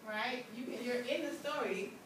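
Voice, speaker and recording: A woman's voice: a little high, moderate pace. A woman teacher leading an interactive classroom lesson, often checking understanding with short questions. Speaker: English teacher. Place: indoor school classroom. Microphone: distant room microphone. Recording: echoey, noisy classroom, far from microphone.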